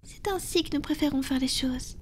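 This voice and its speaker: A woman voicing a tender, affectionate female vampire in a soft, breathy, intimate whisper for an ASMR roleplay. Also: sits high, moderate pace, a little hiss or hum, natural voice. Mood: calm, confident.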